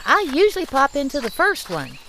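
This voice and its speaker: Woman as female woodland animal, rather high voice, lively intonation, bossy, matter-of-fact, children's cartoon. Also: brisk, heavy background noise, exaggerated voice. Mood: sensible. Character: Matilda, sensible, slightly exasperated female forest animal. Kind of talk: children's audio drama.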